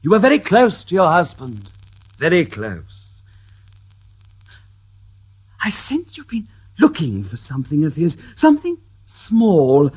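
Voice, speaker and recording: An actor playing a mysterious male clairvoyant in a radio drama, speaking in hushed, breathy tones with long pauses. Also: on the high side, wildly swooping pitch, full character voice.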